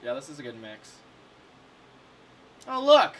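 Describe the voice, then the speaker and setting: A man's voice: a little high, huge pitch swings, a little slow, fairly bright tone, slight background noise. A young man chatting casually and cooking in a home kitchen, laid-back and slangy, swearing freely. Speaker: co-host cooking on the show. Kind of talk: casual chat while cooking. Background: music playing.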